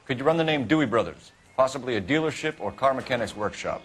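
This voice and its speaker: A man's voice: mid-range voice, lively intonation, fast, noisy background, close to an everyday voice. A man acting a clipped, businesslike American police detective in a 1940s crime video game, formal and authoritative.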